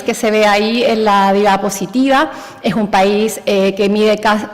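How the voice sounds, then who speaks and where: A woman's voice: fairly high pitch, in a large hall. A Chilean woman giving a conference presentation, with many hesitant fillers. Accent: Chilean Spanish. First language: Spanish. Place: large conference hall.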